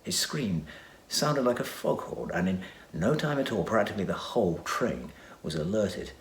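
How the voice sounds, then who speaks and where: A man narrating a children's adventure story with dramatic reading and hushed asides. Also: fairly low pitch, lively intonation, normal speed, slight background noise. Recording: echoey room.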